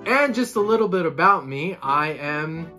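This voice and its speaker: Animated young man talking to camera. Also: on the high side, normal speed, soft background music. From Colombia. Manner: animated, gestures with both hands. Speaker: saxophonist vlogger. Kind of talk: casual talk to camera. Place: home room with bookshelves. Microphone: camera in front, seated.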